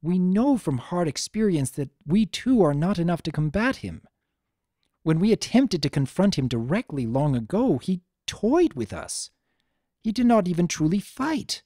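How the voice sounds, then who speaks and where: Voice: mid-range voice, big pitch swings, normal speed, warm tone, dry recording, studio quality, voice pushed up. A narrator voicing a formal, earnest female pony princess in a dramatic story reading, with archaic, stately diction. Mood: alarmed, earnest.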